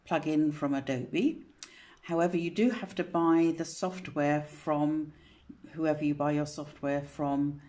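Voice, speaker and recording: Low-voiced chatty woman, croaky today. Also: a little mellow. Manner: chatty, rambling, with frequent self-corrections. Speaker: craft channel host. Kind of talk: casual screen-recorded software tutorial. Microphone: phone camera pointed at a monitor.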